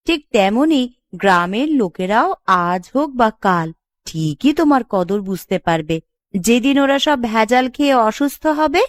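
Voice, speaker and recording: A woman's voice: medium pitch, no room sound, studio quality, natural voice. A woman playing a caring village wife in a cartoon, speaking in an earnest, emotive way. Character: caring, expressive village woman in a family drama. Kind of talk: cartoon voice acting.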